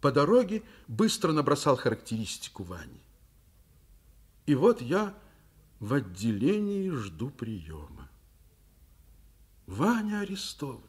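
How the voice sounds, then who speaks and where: An actor playing a stern, principled school principal in a Soviet radio play, with an emphatic, commanding delivery. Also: male voice, medium pitch, wildly swooping pitch, a little slow, pauses often, breathy edge, full character voice. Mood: troubled narration. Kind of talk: mid-century Soviet radio play acting.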